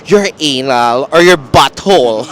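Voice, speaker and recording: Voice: medium pitch, sharp tone. A playful host with a Filipino accent doing bawdy, teasing street interviews, breaking into giggles and exclamations.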